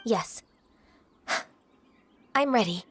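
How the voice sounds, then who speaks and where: Gentle, high-voiced melancholy half-immortal maiden. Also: female voice, expressive, slight background noise. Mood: apologetic, hesitant. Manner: measured, formal, earnest. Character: gentle, melancholy young half-adeptus woman, earnest and reserved. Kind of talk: anime video game voice acting.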